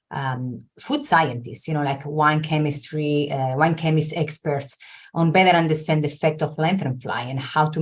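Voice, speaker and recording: Low-voiced Italian-accented woman. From Italy. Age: middle-aged. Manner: explanatory, with frequent um and uh fillers. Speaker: viticulture researcher guest. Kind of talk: interview answers.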